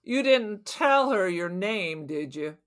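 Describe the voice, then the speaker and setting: A woman's voice: medium pitch, animated, takes it slowly. A woman playing a suspicious, cynical robber on the run in an audio drama, arguing in sarcastic, streetwise slang. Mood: sarcastic, probing. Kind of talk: audio drama crime acting.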